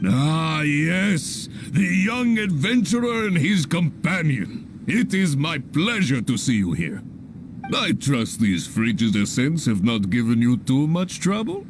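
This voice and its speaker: An actor playing a hearty, pompous, long-winded leader of adventurers in an anime-style video game, speaking in grand theatrical speeches. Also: male voice, on the high side, big pitch swings, slow, background noise. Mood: jovial.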